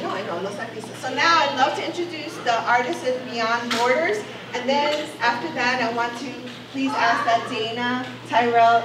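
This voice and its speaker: High-voiced woman emceeing in an echoey gallery. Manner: lively, informal, mixes in Spanish words. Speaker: event host, organizer. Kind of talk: emcee introductions at a gallery event. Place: bright gallery room, indoors. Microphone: handheld mic, recorded by a camera from a distance. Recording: echoey gallery from a distance, noisy.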